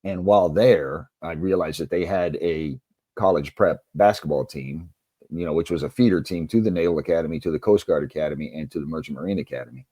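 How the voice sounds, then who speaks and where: A man's voice: rumbling low, a little fast, mellow, no echo. A middle-aged American man telling stories conversationally as an interview guest, in a reflective, anecdotal way. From United States. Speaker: interview guest, former basketball coach and author.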